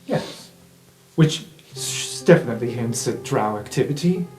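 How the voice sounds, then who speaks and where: A man voicing a knowing, wry informant in an improvised fantasy roleplay podcast, explaining in measured phrases with theatrical pauses. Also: mid-range voice, lively intonation, darkish tone, lightly acted. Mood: guarded, speculative. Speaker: game master. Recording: roomy sound with guitar music underneath.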